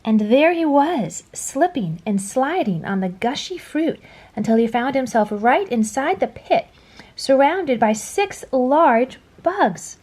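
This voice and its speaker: A woman reading a children's story aloud in a dramatic storytelling style, dropping to a hush at phrase endings. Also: high-pitched, very animated, takes it slowly. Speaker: storybook reader. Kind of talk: expressive children's read-aloud narration.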